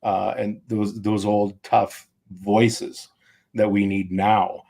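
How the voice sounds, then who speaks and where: A man's voice: fairly low pitch, little pitch movement, slow, gravelly, dark tone. A man hosting a casual podcast, blunt and opinionated, swearing freely as he talks. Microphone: remote call mic.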